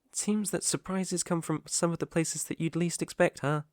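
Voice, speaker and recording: A man's voice: on the high side, steady tone, normal speed, dry recording, clean studio sound, close to an everyday voice. A man with a British accent playing a devoted, proper butler in an intimate ASMR romance roleplay, polite, deferential and hesitant. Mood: curious, teasing. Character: young male butler, devoted, proper, flustered by love.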